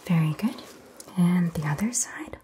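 A young woman whispering softly as a doctor in an ASMR roleplay, gentle and reassuring, recorded close to the mic in a small exam-room set. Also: on the low side, animated, a little slow, a little hiss or hum. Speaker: ASMR creator playing a doctor. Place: indoor set styled as a small doctor's office.

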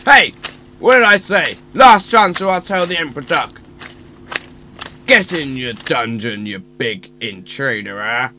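An actor puts on a bossy duck guard, barking out orders in a forceful voice. The guard is gruff and stern, in radio drama style with faint noise behind. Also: mid-range voice, animated, measured pace. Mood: angry.

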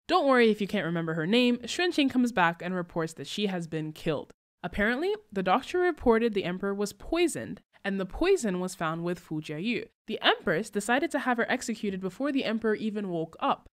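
A woman's voice: mid-range voice, big pitch swings, brisk, hardly any pauses. A young woman, a book recap vlogger, narrating casually with wry, sarcastic asides.

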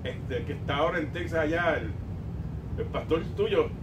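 An older man with a Puerto Rican accent preaching a sermon emphatically, swinging between drawn-out emphasis and quieter asides. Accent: Puerto Rican Spanish. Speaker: pastor. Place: small church sanctuary, indoors at a pulpit. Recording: roomy, distant mic, rumbling background noise.

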